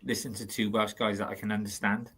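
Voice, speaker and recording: A man's voice: on the low side, little pitch movement, brisk, a bit bright, slightly rough. A man co-hosting a casual chat, teasing the guests good-naturedly. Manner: teasing, jokey.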